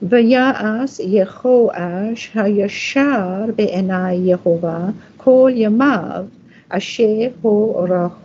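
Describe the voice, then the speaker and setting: A woman reading scripture and blessings in a deliberate, halting way, phrase by phrase. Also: medium pitch, warm tone, slightly rough. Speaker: scripture reader. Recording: dull, muffled lo-fi audio.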